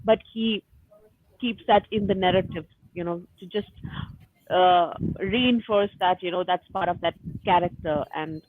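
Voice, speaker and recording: A woman's voice: on the low side, measured pace, no room sound. A woman talking casually and chattily as a panelist in a group book discussion. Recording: phone-like narrow-band call audio.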